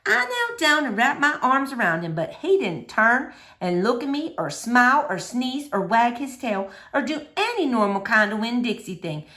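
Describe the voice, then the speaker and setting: A woman reading a children's novel aloud in an expressive, animated storytelling manner. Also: fairly high pitch, normal speed. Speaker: storybook reader.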